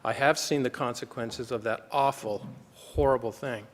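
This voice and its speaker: A man from the United States giving a formal conference talk as a physician, earnest and measured. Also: medium pitch. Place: conference hall. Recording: long hall reverb.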